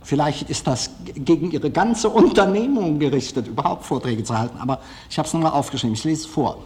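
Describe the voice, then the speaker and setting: A man giving a live public lecture in a hall, hesitant, halting and self-deprecating as he speaks. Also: a little high, darkish tone. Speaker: film director giving a lecture. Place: large formal auditorium, indoors.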